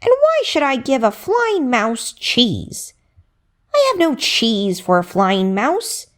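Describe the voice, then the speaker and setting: A woman's voice: on the high side, wildly swooping pitch, slow, heavily acted. A woman voicing a dismissive, grudging rat in a children's storybook. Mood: indignant refusal. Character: dismissive, grudging rat who refuses to share.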